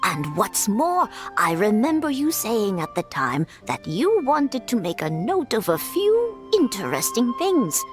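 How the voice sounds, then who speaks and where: An actress playing a kindly, helpful village woman in a game dub, speaking in a gentle, polite way. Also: on the high side, very animated, a little slow, few pauses, faint music behind.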